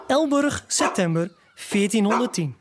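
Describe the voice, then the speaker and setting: Woman narrating a radio play from a script, medium pitch. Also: lively intonation, unhurried, heavy background noise.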